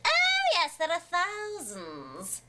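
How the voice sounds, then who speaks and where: A woman voicing assorted storybook characters in high, exaggerated, sing-song voices for an audiobook reading. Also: wildly swooping pitch, slow, background noise, heavily acted.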